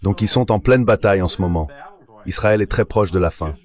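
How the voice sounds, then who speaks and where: A man's voice: deep voice, a little fast, darkish tone. A man's synthetic dubbing voice delivering translated political commentary in a discussion.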